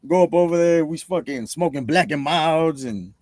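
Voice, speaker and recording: A man's voice: a little high, normal speed, a little mellow, no room sound, studio quality. A man in his thirties chatting casually and telling stories as a podcast guest, swearing freely and using lots of slang. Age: 30s. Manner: slangy, swearing, peppers talk with "bro" and "you know". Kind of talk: casual chat.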